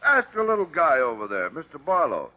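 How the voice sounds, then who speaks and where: Big streetwise suspect, slangy n resentful, pitch all over, dull old radio. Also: male voice, huge pitch swings, fairly quick. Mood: defensive, resentful. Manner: slangy, offhand. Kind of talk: old-time radio drama acting.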